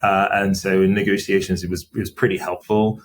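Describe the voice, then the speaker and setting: A middle-aged man, a company finance chief, answering interview questions in a measured, explanatory way with frequent fillers. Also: sits low, level tone. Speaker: company CFO as podcast guest. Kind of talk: interview conversation.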